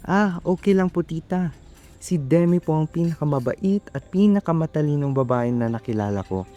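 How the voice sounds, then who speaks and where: A man's voice: fairly high pitch, animated, normal speed, mellow, close to an everyday voice. A man with a Filipino accent playing a polite, nervous, earnest young suitor in an amateur radio drama. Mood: earnest, admiring. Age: young adult.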